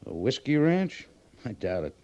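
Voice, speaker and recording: An actor playing a harried, authoritative American army major in an old-time radio western, on a muffled old recording. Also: male voice, medium pitch, very animated, unhurried, warm tone. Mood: defensive, explaining. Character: harried, defensive army major commanding a frontier post.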